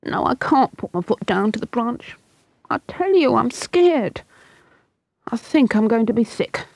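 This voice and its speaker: A woman's voice: fairly high pitch, lively intonation, a little slow, creaky, darkish tone, no echo, pitched above the performer's own voice, full character voice. A woman voicing a frightened young boy stuck up a tree, pleading and close to tears.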